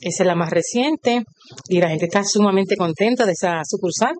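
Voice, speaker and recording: An older woman with a Puerto Rican accent, a retired cooperative worker being interviewed, speaking proudly and devoutly and listing things in a steady rhythm. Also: medium pitch, a little fast, a little hiss or hum. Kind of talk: on-site interview.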